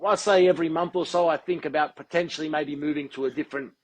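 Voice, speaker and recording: A man being interviewed as a guest, speaking in a measured, articulate and deliberate way. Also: on the high side, fairly even intonation. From Australia. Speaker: guest, football TV presenter. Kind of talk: podcast interview.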